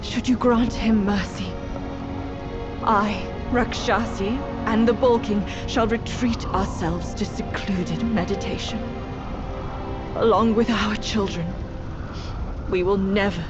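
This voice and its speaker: A woman performs a demon queen from a fantasy video game, pleading with solemn dignity. Her words come slowly and formally, heavy with a mother's sorrow, over clearly heard music. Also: mid-range voice, fairly even intonation, dull audio, natural voice. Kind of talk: dramatic video game acting.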